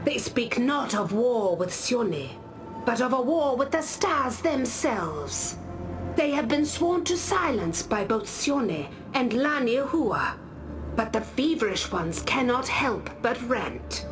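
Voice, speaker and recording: A solemn, devout warrior woman in a video game. Her voice sits a little high and moves expressively as she tells lore slowly and gravely. Music is clearly heard behind her. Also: sing-song. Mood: grave, foreboding. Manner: grave, deliberate storytelling. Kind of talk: scripted game character monologue.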